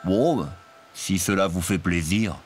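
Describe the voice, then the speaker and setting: Big gentle cartoon dragon, super low voice, slow talking, music behind. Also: male voice, breathy edge. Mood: unsure. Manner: good-natured, hesitant, polite. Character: big gentle friendly male dragon, eager to please. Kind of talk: preschool cartoon voice acting.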